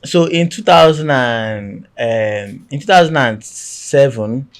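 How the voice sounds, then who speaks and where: A man from Nigeria with a Nigerian accent, a guest in a podcast interview who talks in a chatty, relaxed storytelling way. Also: medium pitch, slow. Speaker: guest content creator. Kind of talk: casual conversational interview. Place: podcast studio.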